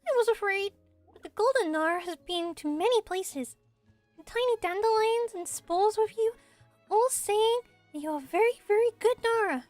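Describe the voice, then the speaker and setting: Tiny timid forest spirit, very high. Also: female voice, normal speed, dry recording, soft background music. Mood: shy, earnest. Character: small timid childlike forest spirit, earnest and naive. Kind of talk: game character voice acting, fan dub.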